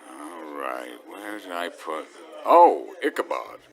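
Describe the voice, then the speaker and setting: A man playing a tired, sarcastic schoolteacher with a wry, offhand, deadpan delivery. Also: extremely low pitch, slow, heavily acted. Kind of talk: casual character acting.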